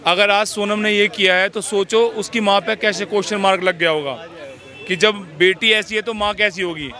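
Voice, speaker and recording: A man's voice: high-pitched, steady tone, moderate pace, bright, forceful tone. A man giving his opinions in a street interview, preachy and constantly checking in with short rhetorical questions.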